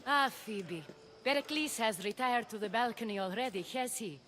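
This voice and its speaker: An actress playing a poised, shrewd society hostess of ancient Greece in a video game, composed and politely commanding. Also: female voice, a little high, normal speed, a bit bright, slight background noise, lightly acted. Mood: gracious, knowing. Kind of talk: dramatic video game dialogue.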